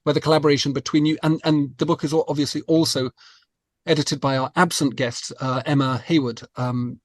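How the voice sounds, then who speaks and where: A man's voice: fairly high pitch, steady tone, fairly quick, dry recording, studio quality. A man hosting a podcast interview, casually feeling his way through questions and rephrasing as he goes.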